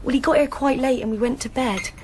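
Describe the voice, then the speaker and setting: Woman playing young hairdresser in a radio play, grieving, plain spoken, highish voice, bit of noise. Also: fast, a little mellow, natural voice. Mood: sad. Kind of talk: radio drama acting.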